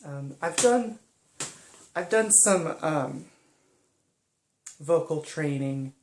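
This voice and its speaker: Slow, hesitant young woman in an echoey room. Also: low-pitched, lively intonation, a little hiss or hum. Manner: soft-spoken and hesitant, many pauses and ums. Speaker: vlogger. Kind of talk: personal reflective monologue.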